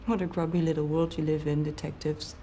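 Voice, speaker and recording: Female suspect, played by an actress, TV drama: soft-toned, lowish, composed, terse, defiant. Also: flat delivery, fairly quick, slight background noise, lightly acted. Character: composed, defiant multilingual female researcher under questioning. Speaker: suspect being questioned. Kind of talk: naturalistic TV drama dialogue.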